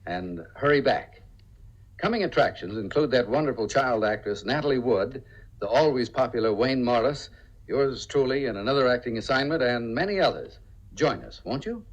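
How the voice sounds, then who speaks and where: A man's voice: medium pitch, expressive, moderate pace. A man hosting a 1950s radio theatre, speaking scripted lines in a courtly, formal manner. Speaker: radio theatre director and host. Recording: dull old radio transcription.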